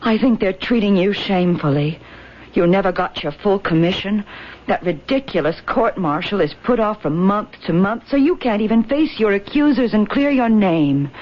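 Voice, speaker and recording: Actress as an earnest, devoted young wife, muffled recording. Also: female voice, mid-range voice, expressive, normal speed, lightly acted. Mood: indignant. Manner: earnest, emotive. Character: devoted young wife, indignant and quietly persuasive. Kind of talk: old-time radio drama acting. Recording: dull vintage radio recording.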